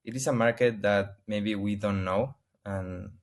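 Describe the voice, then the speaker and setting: Argentine guy, spanish is his first language, low voice, keeps restarting and hunting for english words. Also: fairly even intonation. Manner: halting, searching for English words with many restarts. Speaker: interview guest. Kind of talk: casual interview answers.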